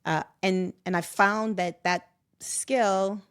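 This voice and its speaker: A middle-aged woman talks in a reflective, personal conversational style. Her pitch sits in the middle for a woman. She is expressive and animated, slipping in small dramatised asides as she goes. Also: slow pace, smooth. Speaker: guest.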